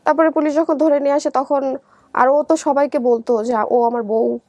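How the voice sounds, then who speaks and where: Young bangladeshi woman, really high voice, telling her life story, kinda halting, very dry sound. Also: steady tone, a little mellow, dry recording, muffled recording. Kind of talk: personal storytelling in conversation.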